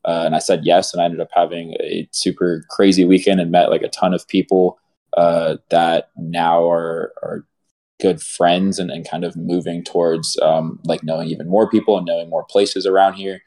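Deep, flat-voiced young man. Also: moderate pace. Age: 20s. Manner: hesitant, lots of likes and ums. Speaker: podcast co-host. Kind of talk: casual chat.

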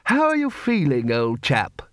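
A man voicing a bossy, hearty old wizard in charge, with a brisk, pompous way of questioning in an audiobook story. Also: mid-range voice, wildly swooping pitch, no room sound, clean studio sound. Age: older. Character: blustering, bossy old wizard arch-chancellor, hearty and commanding.

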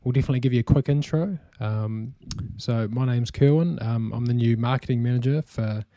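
A young adult man with a New Zealand accent, co-hosting a casual podcast chat in a relaxed way, filling pauses with "um" and laughing easily. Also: medium pitch, no room sound. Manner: relaxed, many "um" fillers, easy laughter. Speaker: podcast co-host.

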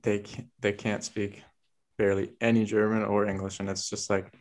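A man interviewing a guest in casual conversation, relaxed and chatty, asking open questions. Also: on the low side, normal speed.

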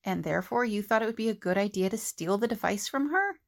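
A woman's voice: mid-range voice, expressive, fast, creaky. A woman playing an earnest, level-headed team leader in an audio drama. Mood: disappointed.

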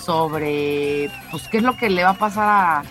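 A woman's voice: sits low, measured pace, a bit bright. Female radio co-host, Mexican accent, conversational sports news delivery, chatty, faint music behind. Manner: chatty, addresses co-hosts directly. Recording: music under the voice.

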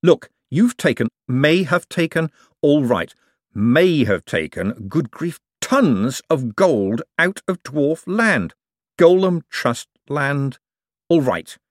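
Exasperated man done by a male reader, wry, quick-witted, argumentative, storybook character, lively intonation, dry close sound. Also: a little high, dry recording, voice pushed up, heavily acted. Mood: exasperated, outraged. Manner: sardonic, argumentative. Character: wry, exasperated, fast-thinking man prone to outrage.

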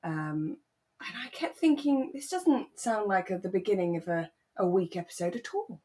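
A British woman co-hosting a podcast, chatting in a relaxed way about a radio drama. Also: medium pitch, moderate pace. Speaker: podcast co-host.

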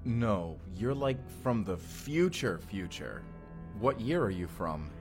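A man acting as a bewildered everyman in a sci-fi audio drama. His voice is on the low side, casual and questioning, with faint music behind it. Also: very animated, slow pace, heavily acted. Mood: puzzled. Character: Benji, bewildered ordinary young man pulled through time.

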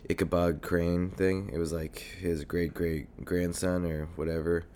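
Sick young man, very deep hoarse voice. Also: little pitch movement, a little slow, a little mellow, a little hiss or hum. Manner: rambling, swears often, many fillers. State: sick, congested and coughing. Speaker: podcast host. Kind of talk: casual solo podcast monologue. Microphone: desk mic near a computer.

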